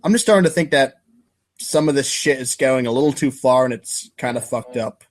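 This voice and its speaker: A man's voice: mid-range voice, a little fast, close to an everyday voice. A man voicing an aloof, slangy teenage boy who rambles casually to hide his nerves in a comic reading. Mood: uneasy, rambling. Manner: casual, rambling, affectedly cool.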